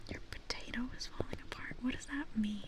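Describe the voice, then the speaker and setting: A woman streams in a hushed whisper, her voice sitting a touch high and moving at an unhurried pace. She sounds anxious and giggly as she talks with her chat. The binaural ear microphone picks her up from a short distance. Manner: soft, nervous, giggly chatter with chat. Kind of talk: whispered ASMR live stream. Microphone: binaural microphone with ears.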